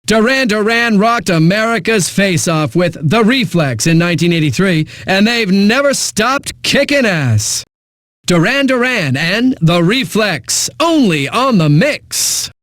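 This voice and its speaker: An actor playing a cocky, flippant eighties-hits radio DJ in a video game, with smug, punchy announcing and dramatic pauses. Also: male voice, high-pitched, sing-song, a bit bright, no echo, clean studio sound, heavily acted. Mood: hyped. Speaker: radio DJ, host. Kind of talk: 1980s music radio DJ patter.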